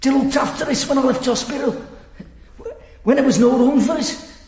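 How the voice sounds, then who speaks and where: In a very roomy echo, a childlike, devout drifter for radio drama. The voice is extremely high and crackly, full of simple, eager fervour. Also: male voice, normal speed, gravelly, darkish tone. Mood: eager, excited. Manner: breathless scripture-quoting fervour, simple and eager. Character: excitable, devout, childlike former hospital patient living rough. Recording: very echoey, roomy space.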